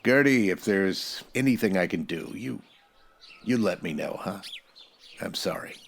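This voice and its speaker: An actor playing a weary, decent Old West marshal with a plainspoken, hesitant way in a radio western parody. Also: male voice, sits low, animated, measured pace, creaky, slight background noise, heavily acted. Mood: awkward, reluctant.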